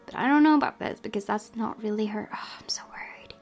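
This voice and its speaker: A woman hosting a gaming video, reading game text aloud and reacting in an expressive, fretful way. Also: mid-range voice, fast, in a whisper. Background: background game music.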